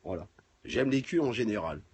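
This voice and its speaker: Middle-aged man, husky voice, animated intonation, blunt crude livestream chat. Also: lowish voice, normal speed. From France. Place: home garage.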